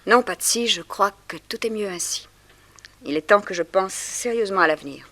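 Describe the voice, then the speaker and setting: An actress playing a poised, gently teasing young newspaperwoman in a 1960s French radio drama. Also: mid-range voice, moderate pace, lightly acted. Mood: guarded, resigned.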